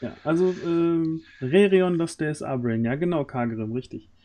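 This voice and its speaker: Male stream host, mellow tone, chatty and hesitant, searching for words, close mic. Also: fairly high pitch, measured pace. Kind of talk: casual livestream chat. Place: indoors, at home on a group video call. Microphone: close desk microphone on boom arm.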